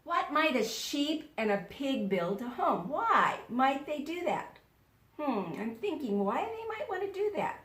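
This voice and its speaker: Slow, high-voiced woman teacher in echoey room. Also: sing-song, a bit bright. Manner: deliberate, instructional, repeats words for pupils to echo. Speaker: elementary school teacher. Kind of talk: read-aloud lesson for young children. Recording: roomy, echoing sound.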